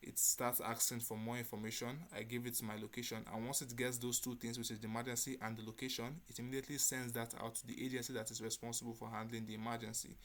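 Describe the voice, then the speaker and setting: Man presenting, fairly flat intonation. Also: mid-range voice. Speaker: developer presenting a hackathon project. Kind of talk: spoken product demo presentation.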